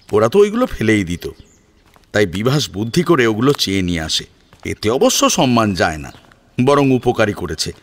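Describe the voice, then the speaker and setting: A man narrating a scripted story in a dramatic, expressive storytelling manner. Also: mid-range voice.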